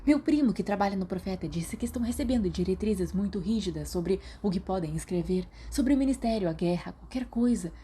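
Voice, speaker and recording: A woman's voice: mid-range voice, animated, a little fast, hardly any pauses, mellow, a little hiss or hum, natural voice. A woman with a Brazilian Portuguese accent voicing a nervous, wide-eyed teenage boy wizard who whispers anxiously.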